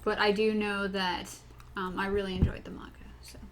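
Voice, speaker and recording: A woman's voice: mid-range voice, flat delivery, fairly quick, background noise. A young woman co-hosting a casual podcast chat, often hesitating and repeating herself before she makes her point. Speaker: podcast co-host.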